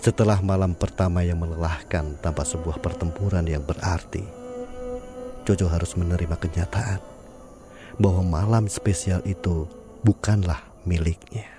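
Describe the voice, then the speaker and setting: A man narrating an audio drama in a calm, measured storytelling manner. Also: deep voice, music in the background.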